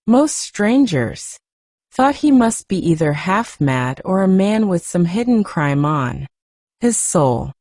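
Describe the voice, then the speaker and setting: A woman narrating a classic novel as a soft, soothing bedtime story. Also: fairly low pitch, dry recording, studio quality. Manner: gentle, unhurried, soothing. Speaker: audiobook narrator.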